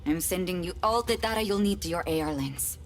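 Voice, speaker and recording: An actress playing a brisk female intelligence handler giving a briefing in a game cutscene. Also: lowish voice, expressive, natural voice. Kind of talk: video game cutscene voice acting.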